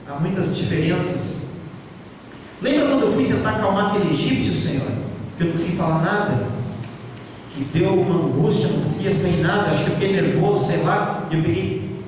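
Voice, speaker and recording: A man's voice: high voice, a little hiss or hum. A man preaching a sermon with passion, his voice rising and falling as he acts out biblical speech to the congregation. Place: church hall. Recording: echoey hall, from far off.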